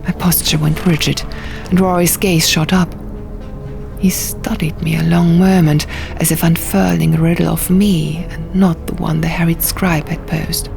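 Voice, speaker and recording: A woman narrating a fantasy audiobook in a hushed, measured, dramatic storytelling style. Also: on the low side, creaky. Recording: music behind the voice.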